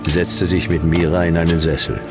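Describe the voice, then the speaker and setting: An actor playing a hardboiled private detective in a sci-fi radio drama, laconic and dry, with a gravelly voice. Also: male voice, deep voice, flat delivery, normal speed, music in the background. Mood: laconic, deadpan. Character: hardboiled male private detective narrating his own sci-fi case. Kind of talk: radio drama narration and dialogue.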